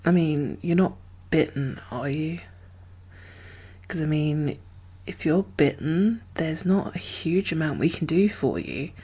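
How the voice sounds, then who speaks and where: A woman with a low, breathy voice speaks softly in an ASMR roleplay, often slipping into a whisper. Her delivery is slow, gentle and halting, as a guarded, caring survivor of a zombie apocalypse. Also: animated. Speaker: ASMR performer playing a role. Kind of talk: soft-spoken ASMR roleplay.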